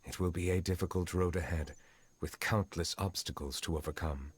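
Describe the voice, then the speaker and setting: Reserved fantasy game judge, played by a male actor: deep voice, dignified, formal, courteous, composed. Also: fairly even intonation, moderate pace, lightly acted. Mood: solemn, reflective. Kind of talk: dramatic video game dialogue. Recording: music behind the voice.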